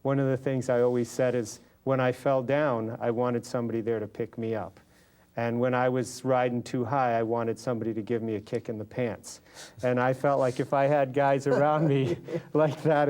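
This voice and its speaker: A man's voice: mid-range voice, fairly even intonation, normal speed, a little mellow. An American man telling his personal faith story as a guest in an interview. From Los Angeles, California, USA. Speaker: interview guest, lay businessman.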